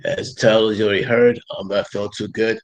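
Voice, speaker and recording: A man with a head cold hosting a casual livestream, talking in a rambling, halting way with many fillers. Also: fairly low pitch, level tone. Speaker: livestream host.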